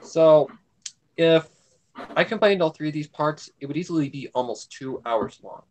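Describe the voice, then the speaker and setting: A man's voice: a little high, moderate pace, a little mellow, low-quality recording. A man in casual conversation with a blunt, grumbling way of talking. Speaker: livestream co-host. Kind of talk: casual chat.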